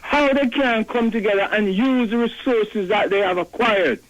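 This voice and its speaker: An older man calling in by phone to a radio show, making an earnest, measured point with some halting restarts. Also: sits high, lo-fi sound. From Jamaica. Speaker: caller. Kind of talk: call-in comment. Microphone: telephone.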